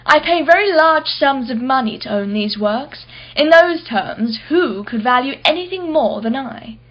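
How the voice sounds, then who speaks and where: An actress playing a wealthy, privileged art collector in a radio play, with an arch, articulate, sardonic manner. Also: female voice, high-pitched, lively intonation, measured pace, lo-fi sound. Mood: haughty, defensive. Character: haughty, wealthy, privileged female art collector, witty and defensive.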